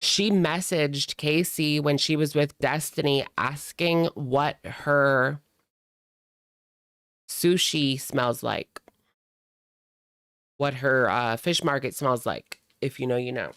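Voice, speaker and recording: A reaction streamer talks through commentary in a casual, sardonic, gossipy way. The delivery is unhurried, and the voice is clear and smooth at a medium pitch, with a dry, close sound. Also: fairly bright tone, no room sound.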